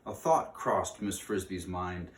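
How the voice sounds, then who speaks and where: A man reading a storybook aloud in an expressive way, with dramatic pauses. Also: deep voice. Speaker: reader of a children's book aloud. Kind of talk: storybook read-aloud narration. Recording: roomy, distant mic with faint noise.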